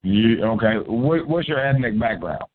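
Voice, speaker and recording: Blunt, combative call-in host on a phone line. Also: male voice, mid-range voice, expressive, moderate pace, no room sound. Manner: blunt, profane and confrontational. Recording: tinny phone-line audio.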